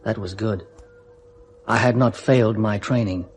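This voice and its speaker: A man's voice: on the low side, measured pace, close to an everyday voice. An actor playing a controlled, emotionally guarded male astronaut in an old radio play, on a noisy recording. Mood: recounting. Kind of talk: 1970s radio drama acting.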